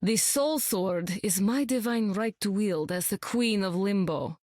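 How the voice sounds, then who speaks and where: A woman's voice: mid-range voice, measured pace, darkish tone, no room sound, clean studio sound, natural voice. An actress playing a haughty young demon-realm queen in a video game, declaiming imperiously.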